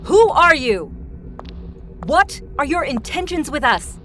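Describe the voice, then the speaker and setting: A woman playing a sharp, commanding, sarcastic starship crew member in an audio drama, speaking in a forceful, exasperated way. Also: sits high, big pitch swings, slow, over music, exaggerated voice.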